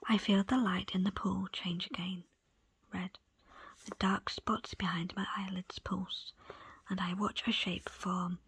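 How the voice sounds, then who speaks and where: A woman reading a book aloud as ASMR in a soft, breathy, unvoiced whisper, with deliberate pauses between short phrases. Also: medium pitch, level tone. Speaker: audiobook reader. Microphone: close, dry microphone.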